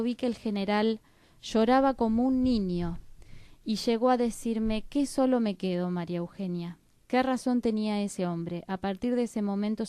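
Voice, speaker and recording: A woman's voice: mid-range voice, mellow, clean tone, dry recording. An Argentine woman speaking as a panelist in a history discussion, sometimes reading out quotations.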